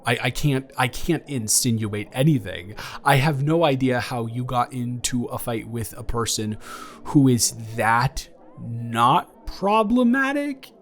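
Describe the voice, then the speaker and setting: A man's voice: mid-range voice, lively intonation, measured pace, soft background music. Hesitant, rambling young ex-boyfriend played by a man. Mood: puzzled, teasing. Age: young adult. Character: awkward, caring college-age ex-boyfriend, gentle and earnest. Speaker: audio roleplay performer. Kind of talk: improvised intimate boyfriend roleplay.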